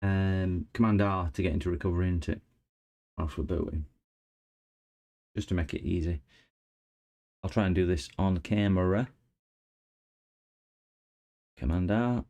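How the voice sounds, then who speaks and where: A man's voice: deep voice, a little slow, long pauses, darkish tone. A man, a repair channel host, chatting casually with viewers while he works on a laptop. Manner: chatty, chuckling, replies to viewers while working. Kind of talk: casual live-stream chat while repairing.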